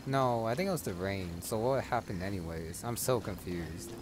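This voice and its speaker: Low-voiced man commenting on gameplay. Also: lively intonation, moderate pace, heavy background noise. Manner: musing to himself. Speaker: gamer, player commentator. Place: indoors, voice over gameplay audio.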